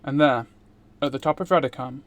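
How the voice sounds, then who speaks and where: A man's voice: medium pitch, brisk. A man streaming a game, reading a visual novel aloud.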